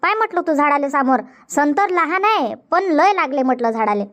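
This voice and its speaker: A woman's voice: high voice, animated, normal speed, fairly bright tone. A woman playing a shrewd, outspoken farmer's wife in a cartoon comedy, blunt and emphatic, with a Varhadi Marathi accent from Vidarbha. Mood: excited. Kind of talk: dubbed cartoon comedy dialogue. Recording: dull lo-fi audio.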